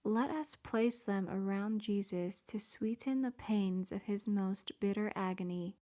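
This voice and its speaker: A woman's voice: mid-range voice, measured pace, mellow. A woman reading a devotional prayer in an earnest, pleading way, some words trailing off into a whisper.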